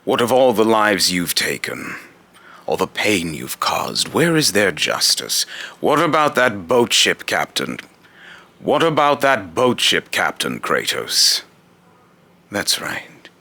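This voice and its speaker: A man voicing a menacing, scornful god of fear as a video game villain, pompous and theatrical, with a very deep, gravelly voice. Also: big pitch swings, takes it slowly, sharp tone, heavily acted. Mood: accusing.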